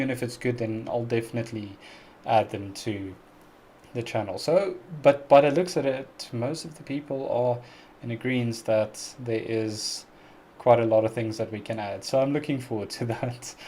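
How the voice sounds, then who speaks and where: A man's voice: medium pitch, normal speed, dark tone, a little hiss or hum. A South African man hosting a casual live-stream Q&A, chatty and earnest, who laughs often and pronounces his words very carefully. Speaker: music reaction YouTuber hosting a live stream.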